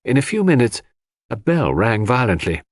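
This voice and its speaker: A man's voice: mid-range voice, big pitch swings, moderate pace, no room sound. A male narrator plays an upper-class woman on the witness stand, composed and guarded with a touch of insolence. The delivery is slightly lifted and varied, in a dry audiobook recording.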